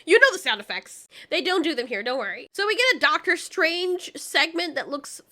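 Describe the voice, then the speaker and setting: High, bright-voiced woman, incredulous and slangy. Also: expressive, moderate pace. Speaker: movie commentary video host. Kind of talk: casual recap commentary with sarcastic reactions.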